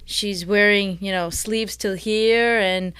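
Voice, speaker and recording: Young woman, smooth clear voice, talks slow and thoughtful, dry sound. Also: medium pitch, fairly even intonation, fairly bright tone, dry recording. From Israel. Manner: thoughtful, with frequent pauses and fillers. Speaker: guest, MMA fighter. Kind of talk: interview answers.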